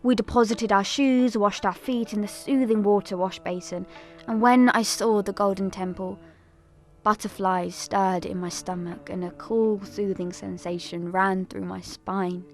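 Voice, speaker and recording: A woman's voice: mid-range voice, fairly even intonation, measured pace, faint music behind, lightly acted. A woman playing an earnest young pilgrim who narrates a family tragedy in a scripted audio drama.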